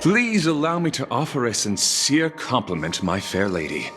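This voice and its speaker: A gallant knight in a game, bright and ringing in tone with sweeping intonation. He speaks in florid, courtly flourishes, theatrical and chivalrous. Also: medium pitch, huge pitch swings, moderate pace, slight background noise. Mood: gallant, effusive. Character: flowery, gallant, chivalrous male knight of beauty. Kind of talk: scripted game dialogue acting.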